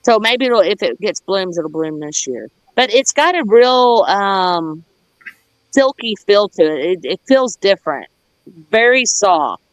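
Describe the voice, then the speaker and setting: A woman's voice: mid-range voice, animated, measured pace, dry recording, poor audio. Folksy Southern US woman, rambling casually. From United States. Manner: folksy, plain-spoken. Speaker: gardener showing their garden on a livestream.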